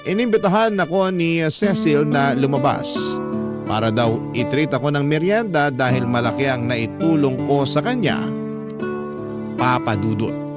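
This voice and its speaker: A man's voice: mid-range voice, measured pace, close to an everyday voice. Radio drama narrator: male actor as a wistful man recounting lost love, mid-range voice, prominent acoustic guitar underneath. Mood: fond, glad. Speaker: narrator telling his own love story. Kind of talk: dramatized radio letter narration in first person. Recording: loud guitar music under the voice. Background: plucked guitar music.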